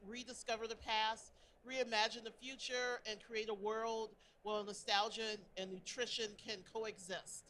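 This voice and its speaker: Bright-voiced woman moderating a panel, noisy room. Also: on the high side. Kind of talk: hosting a panel discussion, partly scripted introductions.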